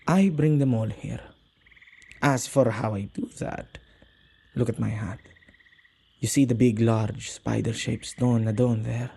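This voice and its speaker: Male witch, played by a man for ASMR roleplay: dark, husky, intimate, possessive but soft-hearted, old-fashioned wording. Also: full character voice. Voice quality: low, husky, creaky voice. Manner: halting, gentle, slightly archaic phrasing.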